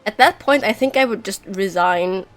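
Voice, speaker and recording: A woman's voice: medium pitch, normal speed. A woman giving casual live commentary as she streams a video game. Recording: stream with game music behind.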